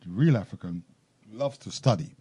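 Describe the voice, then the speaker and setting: A man hosting a radio talk show, asking questions in a measured way, often halting and restarting. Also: lowish voice, lively intonation, mellow, husky, no room sound.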